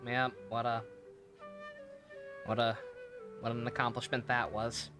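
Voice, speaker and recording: A woman's voice: rumbling low, level tone, a little slow, over music. A woman giving casual live-stream game commentary in a sarcastic, irreverent way, swearing freely.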